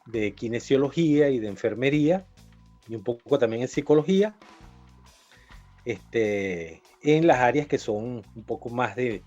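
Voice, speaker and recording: A man's voice: mid-range voice, expressive, unhurried, darkish tone, soft background music. Hesitant man lecturer, muffled audio. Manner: hesitant, frequent fillers. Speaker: university lecturer guest. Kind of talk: conversational interview answer.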